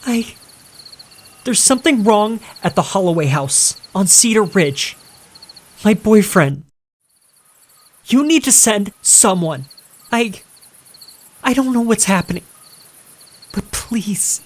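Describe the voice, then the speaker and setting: Narrator acting a young woman, high voice, desperate, pleading, cracking, horror story figure. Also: expressive, slow, pauses often, slight background noise. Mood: panicked pleading. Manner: breathless urgent pleading, voice cracking.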